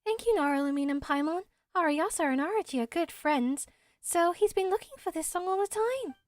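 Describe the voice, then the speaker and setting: A woman's voice: very high-pitched, lively intonation, a little fast, no room sound. A woman voicing a small, earnest, friendly forest spirit who acts as a helpful guide in a video game. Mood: friendly, explaining. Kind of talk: amateur video game voice acting.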